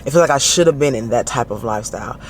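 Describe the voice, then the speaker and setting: A man with a Southern Alabama accent vlogging casually from his car, animated and laughing often as he talks to a handheld camera. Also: mid-range voice, rapid-fire. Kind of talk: casual driving vlog. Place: in a car, driving. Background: faint car and road noise.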